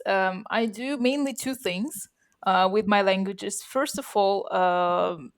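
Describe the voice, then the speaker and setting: A woman co-hosting a podcast, born bilingual with Russian as one of her first languages. She talks in a loose, chatty way, full of fillers, restarts and quick laughs. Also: mid-range voice. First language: Russian, bilingual from birth. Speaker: podcast co-host. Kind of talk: casual podcast chat.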